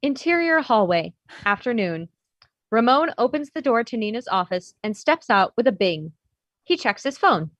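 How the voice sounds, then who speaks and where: A woman's voice: on the high side, big pitch swings, moderate pace, fairly bright tone, dry recording. A woman narrating the stage directions of a script at a table read. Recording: dull, slightly lo-fi audio.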